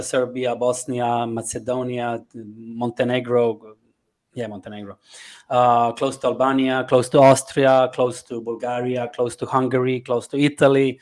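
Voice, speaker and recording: Male podcast guest, Slovenian accent, medium pitch, conversational, speaks in clipped lists and fragments. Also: darkish tone. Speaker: podcast guest, life coach. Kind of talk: conversational interview answers.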